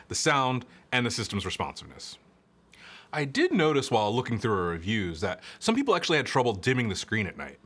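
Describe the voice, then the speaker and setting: A man's voice: on the low side, a bit bright. A man presenting a scripted product review, explaining features in a conversational way and speaking straight to the viewer. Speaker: product reviewer and presenter for a car audio retailer.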